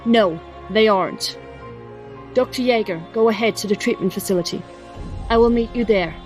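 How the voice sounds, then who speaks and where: A woman playing a calm, commanding young princess in an audio drama, measured, formal and determined. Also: mid-range voice, faint music behind, natural voice.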